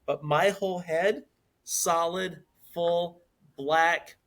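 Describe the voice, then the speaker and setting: A man's voice: a little high, steady tone, extremely slow, a bit bright. A man in his fifties co-hosting a podcast, talking earnestly in casual chat and repeating words as he builds his points. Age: 50s. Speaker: podcast co-host.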